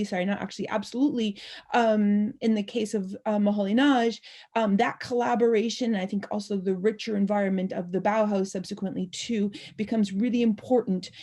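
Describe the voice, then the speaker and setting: A woman on an academic panel, speaking earnestly but haltingly with many filler sounds and self-corrections over a video call. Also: medium pitch, warm tone.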